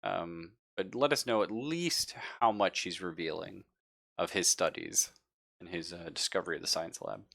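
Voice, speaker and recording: A man's voice: deep voice, normal speed, creaky, fairly bright tone, dry recording, clean studio sound. A man acting as game master in a casual live tabletop roleplaying session, easygoing and encouraging as he thinks aloud.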